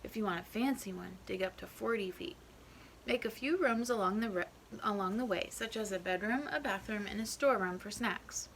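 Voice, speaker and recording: A woman's voice: mid-range voice, brisk, fairly bright tone, slight background noise, low-quality recording. A woman teacher reading a children's picture book aloud, explaining things and checking in with young listeners.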